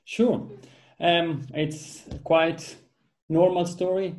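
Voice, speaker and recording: Italian-accented Swiss man, 30s, earnest guest with a mellow, slightly high voice. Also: steady tone, drawn-out words. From Ticino, southern Switzerland. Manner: earnest, with frequent restarts and fillers. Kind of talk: casual interview talk. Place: home office.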